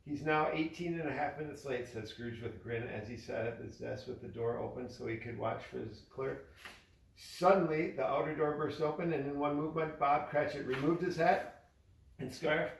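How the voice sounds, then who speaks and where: A man's voice: mid-range voice, fairly even intonation, moderate pace, vocal fry, a little hiss or hum. Man reading a storybook in an echoey room. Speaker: father reading a story aloud to his child. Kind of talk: storybook narration. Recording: echoey room, distant from mic.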